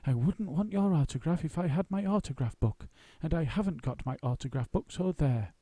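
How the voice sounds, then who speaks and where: A man's voice: a little high, lively intonation, brisk, warm tone, no room sound, voice pushed up. A man voicing a small, polite and earnest young bear who speaks firmly and a little indignantly.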